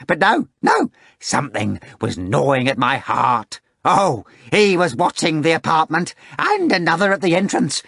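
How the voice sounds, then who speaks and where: A fussy, fawning servant gushes and whines, as a male narrator puts him on, his voice climbing high in excitement. He wheedles with exaggerated courtesy. The sound is close and dry. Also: no echo, heavily acted. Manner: whining, excitable, gushing.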